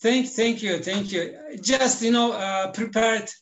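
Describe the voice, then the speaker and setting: A man, a language teacher speaking deliberate non-native English as he explains his views as an interview guest. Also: sits high.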